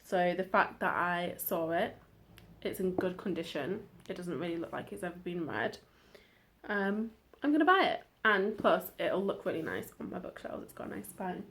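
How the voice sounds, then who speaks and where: A woman's voice: fairly low pitch, very animated, normal speed, a bit bright, a little hiss or hum. Chatty British woman showing her book finds. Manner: chatty, rambling, with frequent side notes and fillers. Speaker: book vlogger. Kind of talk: casual chat.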